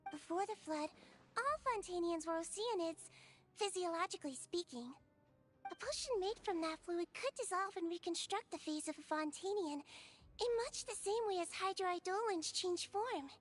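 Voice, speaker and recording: A woman voicing a small, clever, composed girl healer in a video game, speaking in a measured, gently firm, explanatory way. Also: very high voice, expressive. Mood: calm, clever. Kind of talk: scripted video game dialogue.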